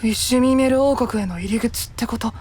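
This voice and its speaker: A woman voicing a blunt, impatient, inquisitive young knight with a husky voice in a Japanese fantasy voice drama. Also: on the high side, quick pace, darkish tone. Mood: suspicious, probing. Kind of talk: Japanese anime-style voice drama.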